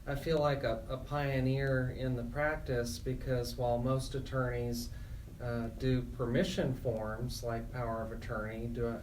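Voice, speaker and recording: A man's voice: medium pitch, flat delivery, unhurried, darkish tone. A man hosting a radio talk show, explaining in a conversational way as an attorney. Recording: slightly distant mic, background noise.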